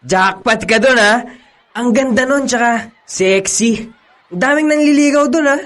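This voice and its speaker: A man's voice: very high voice, unhurried, a bit bright. An actor playing a young male college friend who teases and laughs along with his buddy. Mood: teasing. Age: young adult. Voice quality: teasing, laughing often. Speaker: actor in a radio drama. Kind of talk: radio drama acting.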